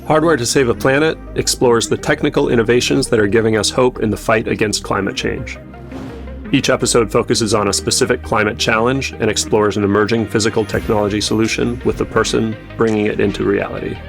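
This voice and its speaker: Low gravelly male podcast host. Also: fairly even intonation, a little fast, music in the background. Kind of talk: scripted intro and interview questions.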